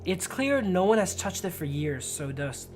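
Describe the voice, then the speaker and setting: A woman's voice: fairly low pitch, very animated, moderate pace, darkish tone. A woman live-streaming a game, reading its text aloud and adding casual, slangy commentary. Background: music.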